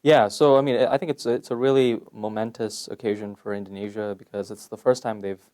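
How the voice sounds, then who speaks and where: Young guy from malaysia, lowish voice, talks slow and careful w lots of ums, phd student being interviewed. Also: steady tone, darkish tone. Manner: measured, thoughtful, with frequent fillers. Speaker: PhD candidate, interview guest. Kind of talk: conversational interview answers. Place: conference venue.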